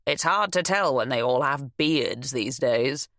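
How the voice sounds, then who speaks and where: A woman voicing a nosy, chatty older woman who runs a shop, insistent and quick to huff. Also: extremely low pitch, animated, a bit bright, no echo, studio quality, full character voice.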